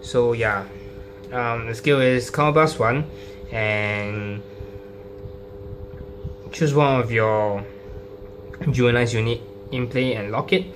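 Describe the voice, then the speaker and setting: A man casually presenting a card game deck, explaining it unscripted and card by card in a halting, hesitant way. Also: medium pitch. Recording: clearly noisy home recording with hum.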